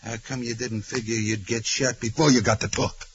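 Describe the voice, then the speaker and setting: An actor playing a gruff, suspicious convict ringleader, slangy and belligerent, in a 1940s radio drama. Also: male voice, mid-range voice, level tone, normal speed, natural voice. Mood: defiant, suspicious. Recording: muffled, noisy 1940s radio recording.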